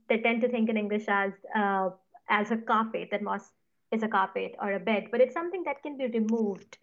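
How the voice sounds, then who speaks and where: Thoughtful woman from Punjab, muffled audio. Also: on the high side. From Punjab, India. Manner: thoughtful, measured, careful hedging. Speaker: guest, writer on mosses. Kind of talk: interview.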